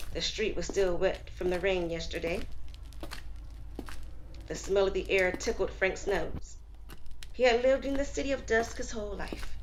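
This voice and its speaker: A woman narrating a scripted story as storyteller, in a measured, expressive way. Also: mid-range voice, slight background noise. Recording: slightly muffled, lo-fi.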